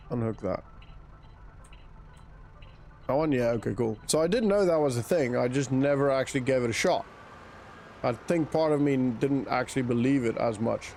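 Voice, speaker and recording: A man's voice: mid-range voice, darkish tone, a little hiss or hum. A man from South Africa with an accent that mixes South African and British, chatting casually while streaming a game, thinking aloud and answering chat. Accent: mixed South African and British. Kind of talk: casual live gameplay commentary.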